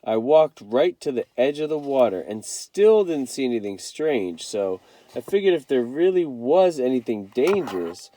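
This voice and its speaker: A man reading a first-person children's novel aloud as an expressive storyteller. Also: mid-range voice, moderate pace.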